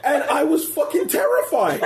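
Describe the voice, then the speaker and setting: A man on a casual group podcast, telling a childhood story in an animated way and acting out quotes. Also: very high-pitched, very noisy. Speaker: podcast host.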